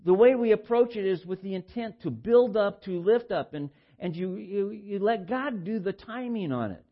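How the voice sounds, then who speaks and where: An older man with a baritone voice preaching informally, halting and repeating words as he shares personal stories in a conversational way. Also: lively intonation, highs cut off. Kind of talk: informal sermon with personal anecdotes.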